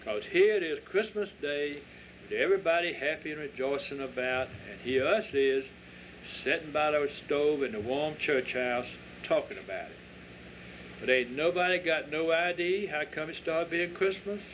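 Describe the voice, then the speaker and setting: A man's voice: fairly high pitch, moderate pace, a bit bright, lightly acted. A man voicing a folksy, patient rural Southern reverend who questions children like a preacher, in a dramatic story reading. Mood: genial, questioning. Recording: dull lo-fi audio with faint noise.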